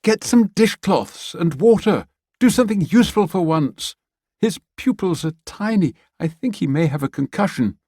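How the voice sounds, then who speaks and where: A man voicing a brisk, caring young woman who gives first aid and talks quick and firm. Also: sits high, lively intonation, mellow, slightly rough, no room sound, voice pushed up. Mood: urgent.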